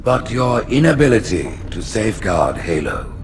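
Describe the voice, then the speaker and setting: An imperious old alien high priest pronounces judgment in a solemn, grandiose tone. His voice sits fairly low. Loud music plays in a game cutscene. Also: very animated, normal speed. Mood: judging.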